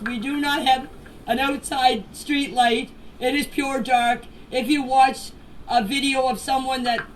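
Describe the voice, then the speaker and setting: A woman giving an unscripted personal statement in voice messages, plainspoken and emphatic, repeating phrases for stress. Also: high-pitched. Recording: noisy voice message, distant from mic.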